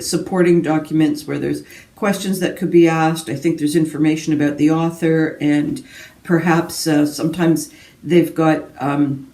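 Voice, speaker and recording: A woman with a soft-edged, dark voice talks casually in the style of a book vlogger. She wanders through asides and interrupts herself as she goes. The sound is roomy and echoing, with her set back from the microphone. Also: sits low. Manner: rambling, self-interrupting asides. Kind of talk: casual book chat to camera. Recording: roomy echo, distant from mic.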